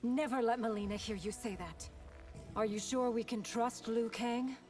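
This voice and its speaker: An actress playing a composed, dutiful young warrior princess in a video game, earnest and poised. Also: female voice, fairly high pitch, fairly even intonation, normal speed, darkish tone, faint music behind, natural voice. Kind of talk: video game character dialogue.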